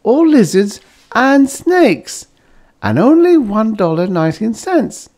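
Audiobook wife played by a man: high, wildly lilting, wide-eyed, gushing and adoring. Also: big pitch swings, slow pace, a little mellow, full character voice. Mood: wondering. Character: chirpy, adoring young pioneer wife in a story.

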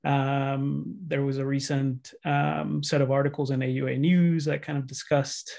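A man in his thirties, from Colombia, introducing himself on a video call in a measured way with fillers. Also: medium pitch, level tone, gravelly, darkish tone. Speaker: urologist, faculty member.

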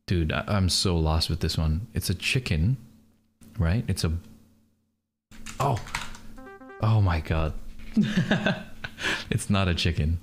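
A man's voice: deep voice, animated, slow pace, pauses often, soft background music. A young man from Malaysia, streaming and chatting casually with his viewers, laughing often.

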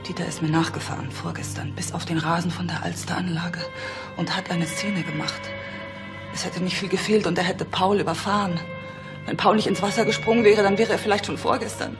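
An actress playing a bitter, wounded wealthy wife in a radio play, with a creaky voice. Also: female voice, on the low side, fairly even intonation, fairly quick, music in the background, natural voice. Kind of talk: German radio play acting.

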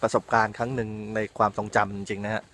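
A middle-aged man talking casually and excitedly to a camera held at arm's length, outdoors on a frozen lake in the snow. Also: lowish voice, quick pace, a little hiss or hum. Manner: excited, chatty, exclaiming. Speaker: travel vlogger. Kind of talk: casual travel vlog.

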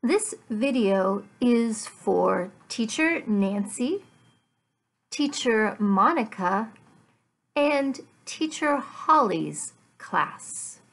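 An American woman in her fifties teaching beginner English, speaking deliberately in short, simple, repeated phrases with pauses, recorded at home on a laptop microphone. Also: fairly high pitch, animated, gravelly, rough recording. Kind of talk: beginner language lesson, addressed to learners. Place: small furnished living room at home.

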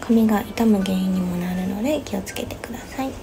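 A young woman in her twenties, a hairstylist, calmly explaining each step of a hair styling tutorial. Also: mid-range voice, brisk, faint music behind. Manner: gentle step-by-step instruction. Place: small bright studio indoors.

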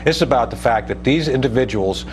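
A man's voice: mid-range voice, animated, a little fast, a little hiss or hum. An American man in his fifties giving emphatic, explanatory commentary as a guest in a live TV news interview. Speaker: guest commentator, retired army officer. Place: TV news studio. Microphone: lapel mic.

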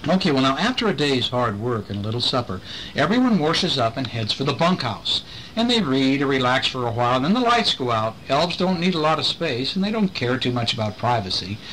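A middle-aged man reads a bedtime storybook aloud to a child. His bright voice moves up and down in a lively, expressive way as he stops to explain the pictures. It is a home recording with faint background noise. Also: medium pitch, fairly quick, poor audio.

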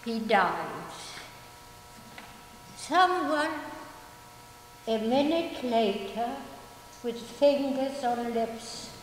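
A woman's voice: a little high, drawn-out words, pauses often, a crowd in the background. An older woman giving a solemn dramatic reading from a stage. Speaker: actress and reader in a recital. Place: concert stage in a hall. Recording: very echoey hall.

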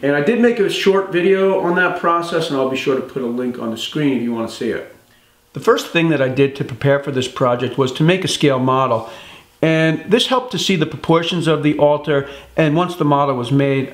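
Calm man explaining woodworking, echoey shop. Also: mid-range voice. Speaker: woodworking channel host. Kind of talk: instructional workshop narration. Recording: echoey workshop room.

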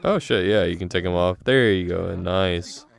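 A young man chatting casually while gaming, excitable and quick to swear. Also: sits low, gravelly. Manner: excitable, profane. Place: virtual reality game voice chat.

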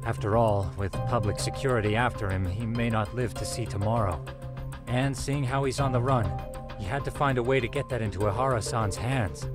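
A geeky young male tech expert, acted by a man in a game cutscene. He explains in a composed, measured way at a medium pitch, over clearly audible music. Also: natural voice. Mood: reasoning. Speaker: voice actor, video game English dub. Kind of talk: video game cutscene dialogue.

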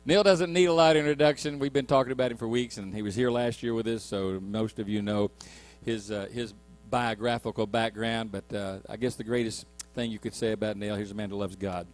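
A man's voice: fairly low pitch, fairly even intonation, fairly quick, few pauses. A man hosting a church retreat, introducing the speaker in a folksy, teasing way to a hall audience. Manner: folksy and teasing, rallies the crowd. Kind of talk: warm-up introduction. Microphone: handheld mic.

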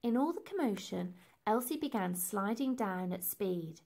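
A woman's voice: mid-range voice, animated, a little slow, gravelly, very noisy. A woman reading a children's story aloud as narrator, with dramatic, emphatic phrasing. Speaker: storybook reader.

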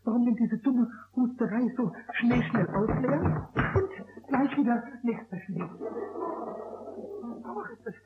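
A man voicing a small, cheeky, stubborn kobold in a 1960s radio play, squeaky and childlike, stammering and repeating words. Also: lively intonation, slow pace, very noisy, exaggerated voice. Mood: busy, self-talking. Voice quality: squeaky, high. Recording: tinny lo-fi old radio recording.

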